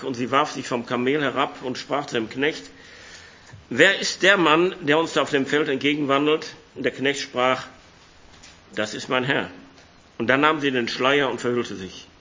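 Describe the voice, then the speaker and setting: Male preacher with a hoarse voice, bright and forceful, slightly muffled lo-fi sound; conversational sermon with scripture reading. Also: mid-range voice, moderate pace. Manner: conversational, reads scripture aloud. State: hoarse from a cold. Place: church hall.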